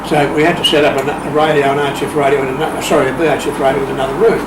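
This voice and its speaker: A man talks informally as an interview guest, his voice a little on the high side. He is in a reverberant room with plain background noise, telling stories in an unscripted way. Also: level tone, rapid-fire. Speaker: radio club interview guest. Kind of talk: anecdotal, informal talk. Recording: echoey room, distant mic, clear noise.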